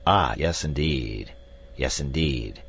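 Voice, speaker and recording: A man puts on a vague, absent-minded old professor who mutters softly under his breath. His voice sits very low and slow, with a fussy, dithering way of speaking. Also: big pitch swings, gravelly, a little hiss or hum, heavily acted. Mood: muttering. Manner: soft, mumbling, fussy.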